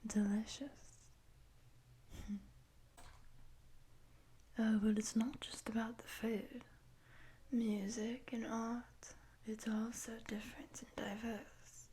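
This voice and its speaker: A woman voicing a friendly, curious lamia in a roleplay, in a soft breathy whisper, teasing and affectionate, taking her time. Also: fairly high pitch, little pitch movement, slow pace, long pauses, slight background noise. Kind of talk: whispered roleplay audio addressed to the listener.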